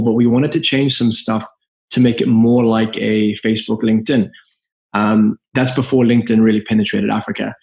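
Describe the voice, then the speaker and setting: A man's voice: mid-range voice, vocal fry, darkish tone. A man with a Zimbabwean accent, a guest entrepreneur telling his story in a podcast interview in an earnest, open way. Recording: roomy echo.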